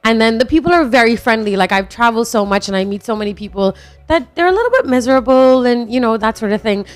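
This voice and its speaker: A woman's voice: fairly high pitch, lively intonation, fast, faint music behind. A young woman from Trinidad, raised partly in Toronto, chatting as an interview guest with many fillers and frequent laughs. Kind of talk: casual interview chat.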